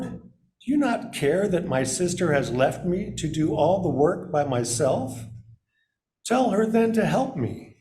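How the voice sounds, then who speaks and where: A man reading scripture aloud in a measured style, as a reader at a service. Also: fairly high pitch, warm tone.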